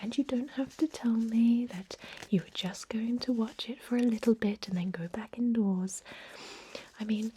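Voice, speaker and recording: A woman playing a thousand-year-old vampire medic in an ASMR role-play, whispering breathily in a soothing, unhurried manner with murmured hums. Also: fairly high pitch, warm tone, a little hiss or hum, natural voice, low-quality recording. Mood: gently scolding.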